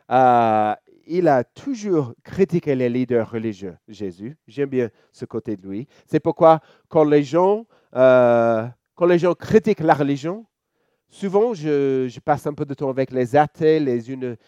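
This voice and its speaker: Slow, mellow Australian man preaching. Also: mid-range voice, no room sound, dull audio. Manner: relaxed, joking, halting with many fillers and restarts. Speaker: church elder preaching. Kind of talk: sermon.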